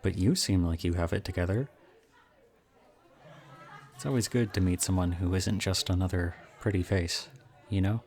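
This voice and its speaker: A very low-pitched young college guy, played by a male voice actor in an intimate roleplay audio drama. He is chatty and charming, pokes fun at himself and teases softly, with something subtly odd about him. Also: moderate pace, long pauses, a faint crowd. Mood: curious, friendly. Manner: chatty, self-deprecating, softly teasing.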